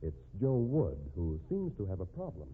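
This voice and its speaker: A man narrating a 1950s radio show as announcer, in a theatrical, deliberate scripted style. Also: fairly low pitch, very animated, breathy edge. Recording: tinny band-limited 1950s radio recording.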